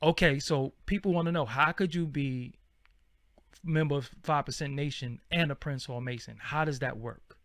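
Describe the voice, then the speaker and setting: A man's voice: fairly high pitch, normal speed, fairly bright tone, dry recording, studio quality. A man hosting a live-stream interview, asking his guest questions in a casual way. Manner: casual, asks questions with many fillers and restarts. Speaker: host.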